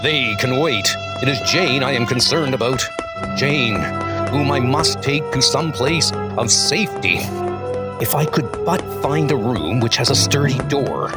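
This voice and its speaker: A man's voice: medium pitch, lightly acted. A man voicing a mighty, noble thunder god hero in a comic book dub, speaking in grave, archaic, formal phrases. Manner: grandiose, archaic formal speech. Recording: music under the voice.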